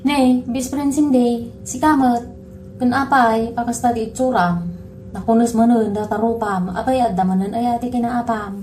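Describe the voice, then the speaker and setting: A woman's voice: fairly high pitch, steady tone, normal speed, darkish tone, a little hiss or hum, natural voice, low-quality recording. A woman with a Filipino accent playing a blunt best friend who chides and gives advice in a comedy radio drama. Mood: teasing, chiding. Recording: music behind the voice.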